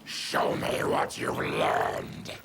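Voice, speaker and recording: A man playing a grim, afflicted mutant warrior in a video game, with a guttural, gravelly voice. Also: extremely slow, noisy background. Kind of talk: video game fight dialogue.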